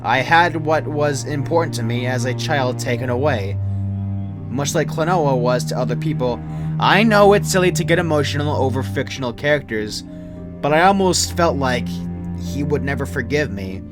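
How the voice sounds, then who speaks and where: A man's voice: mid-range voice, lively intonation, normal speed. Young man narrating a story over music. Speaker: creepypasta narrator. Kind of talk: scripted first-person story narration. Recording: music behind the voice.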